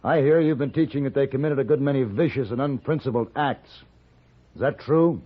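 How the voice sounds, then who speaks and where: An actor playing a calm, worldly gunfighter in an old-time radio western, speaking in a measured, well-spoken, probing way. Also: male voice, medium pitch, natural voice. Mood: firm. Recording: muffled vintage radio recording with music.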